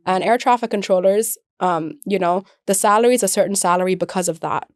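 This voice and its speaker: A woman's voice: mid-range voice, big pitch swings, moderate pace, a little mellow, no room sound. Young emirati woman chatting on a podcast, tells stories by acting out the dialogue, close dry mic. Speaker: podcast guest, air traffic controller and comedian. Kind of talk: casual conversational storytelling.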